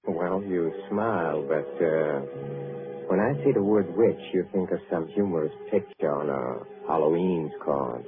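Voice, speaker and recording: An actor playing a proud, sinister old European count in old-time radio drama, speaking with deliberate, courtly menace. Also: male voice, deep voice. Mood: ominous, proud. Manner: deliberate, ominous storytelling. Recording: muffled vintage radio recording with music.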